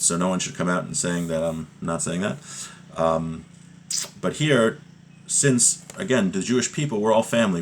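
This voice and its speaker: Male religious teacher, lowish voice, explanatory and informal, working through a text, faint background noise. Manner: explanatory, checks with "right?", repeats key phrases. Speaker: religious teacher giving a class.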